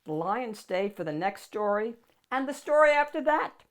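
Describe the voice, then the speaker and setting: A woman reading a picture book aloud to children in an animated, expressive storytelling style with dramatic emphasis. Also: fairly high pitch, normal speed.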